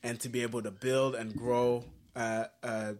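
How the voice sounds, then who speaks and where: A man's voice: medium pitch, little pitch movement, unhurried, darkish tone. Earnest man from Haiti, musician. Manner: earnest, reflective, many fillers and restarts. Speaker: musician guest. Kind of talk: casual interview chat.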